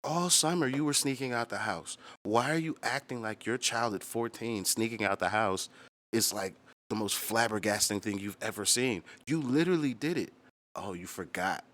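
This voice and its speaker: A man's voice: fairly low pitch, normal speed, a bit bright. A man hosting a channel, telling stories casually and bluntly, with plenty of slang and swearing. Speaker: host of a music storytelling channel. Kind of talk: casual, profane storytelling to viewers.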